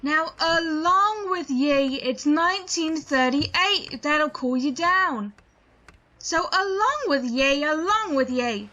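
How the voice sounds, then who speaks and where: A woman's voice: sits high, lively intonation, takes it slowly, bright, forceful tone, dull audio, exaggerated voice. A woman playing a brusque, folksy policeman who chides and dismisses, in 1930s radio drama style. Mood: dismissive. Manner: folksy, chiding. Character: brusque, dismissive Irish beat cop, male.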